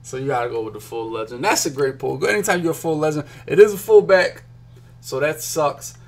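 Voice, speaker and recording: A young man from Philadelphia, a gaming streamer, chatting casually and excitedly to his chat while opening card packs in a small room at home. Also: on the high side, a little fast. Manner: chatty, hyped, talks to chat while clicking. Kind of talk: casual pack-opening commentary.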